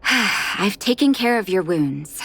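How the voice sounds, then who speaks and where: An actress playing a stern but caring woman doctor in a video game, measured and gently scolding. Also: mid-range voice, animated, gravelly, slight background noise, full character voice. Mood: stern, weary.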